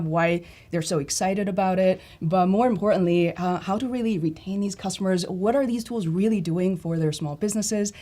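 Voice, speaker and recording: A woman's voice: medium pitch, mellow. A woman in her thirties, a guest on a livestream, talking in an upbeat, fluent and enthusiastic way. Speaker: livestream guest, content creator. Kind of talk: casual livestream chat.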